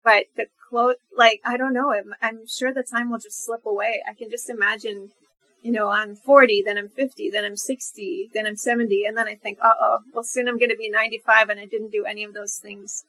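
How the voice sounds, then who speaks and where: A woman's voice: on the high side, fairly even intonation, a little fast, sharp tone, dry recording, poor audio. A woman speaking as a podcast guest in casual, reflective conversation, thinking aloud as she goes.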